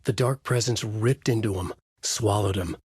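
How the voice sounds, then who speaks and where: A man playing a brooding, haunted novelist in a video game, narrating his horror story in a grave, measured, dramatic way with a husky voice. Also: on the low side, a little mellow, dry recording, clean studio sound. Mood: ominous, grave. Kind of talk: scripted noir horror narration, inner monologue.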